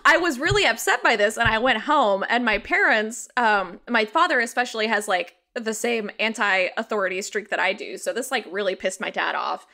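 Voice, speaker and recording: Casting: American woman, bright, crisp-edged tone, informal podcast guest, conversational storyteller. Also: a little high, moderate pace, few pauses. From rural Missouri, United States. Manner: informal, animated, frequent "like", sweary. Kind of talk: casual conversational storytelling and explaining.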